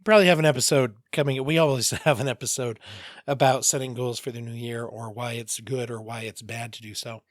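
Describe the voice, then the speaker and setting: A man hosting a casual solo mental health podcast, his voice a bit raspy, rambling with jokey asides and self-corrections. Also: mid-range voice, steady tone, fairly quick, no room sound, studio quality. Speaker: podcast host, therapist.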